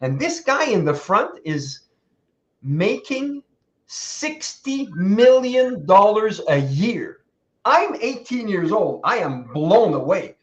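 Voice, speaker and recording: Animated older man, high voice, lo-fi. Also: slow. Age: 60s. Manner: animated, laughing asides, acts out quoted voices. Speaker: guest speaker. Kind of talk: motivational storytelling. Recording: dull lo-fi sound.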